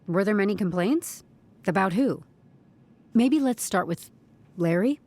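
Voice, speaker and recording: An actress playing a tactful but persistent HR investigator, asking careful questions in a scripted audio drama. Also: female voice, medium pitch, expressive, unhurried, dark tone. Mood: careful, probing. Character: probing, tactful female HR investigator, quietly persistent.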